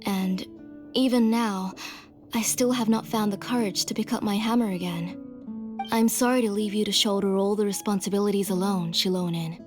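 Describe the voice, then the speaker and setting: A woman playing a gentle, middle-aged mother weighed down by guilt, speaking earnestly in a video game. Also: mid-range voice, fairly even intonation, moderate pace, natural voice. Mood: apologetic. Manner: gentle, earnest, sorrowful, sighing. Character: gentle guilt-ridden mother, a former master smith. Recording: music behind.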